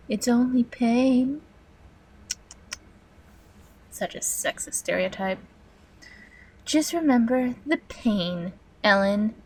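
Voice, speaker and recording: A woman's voice: medium pitch, husky, rough recording. A woman playing a hateful, sadistic supercomputer in a video game monologue, taunting human captives with theatrical menace and drops into whispers. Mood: sinister, patronizing. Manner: theatrical, menacing, drawn-out delivery dropping into whispers.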